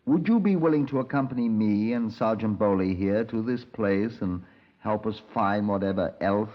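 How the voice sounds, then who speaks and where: An actor playing an impatient, sardonic police detective in a 1950s radio crime drama, clipped, dry and dramatic. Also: male voice, lowish voice, moderate pace, lightly acted. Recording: dull, band-limited 1950s radio recording.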